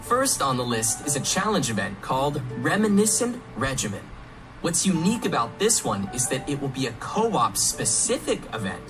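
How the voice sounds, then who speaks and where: An enthusiastic man presents a game preview as a livestream co-host. His delivery is scripted and upbeat, with very lively intonation like an announcer. Music plays clearly behind him. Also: mid-range voice, moderate pace.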